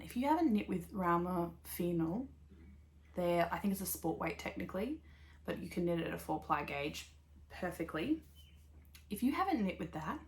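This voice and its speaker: Chatty Australian woman, rambling and upbeat. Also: fairly low pitch. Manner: rambling, upbeat, full of fillers and little laughs. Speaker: podcast host. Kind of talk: casual chatty knitting podcast.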